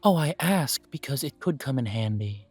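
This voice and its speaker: A man voicing a polite male elf who owns a coffee shop, interviewing the listener for a job in a calm, formal way. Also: mid-range voice, moderate pace, husky. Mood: courteous. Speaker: shop manager interviewing an applicant. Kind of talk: audio roleplay, talking to the listener.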